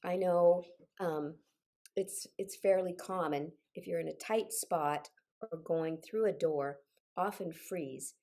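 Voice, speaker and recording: A woman's voice: fairly low pitch, takes it slowly, warm tone. An older woman getting over a cold, leading a group singing session over a video call in an encouraging, directive way. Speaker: choir leader and singing instructor. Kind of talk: instructional group singing session with personal asides.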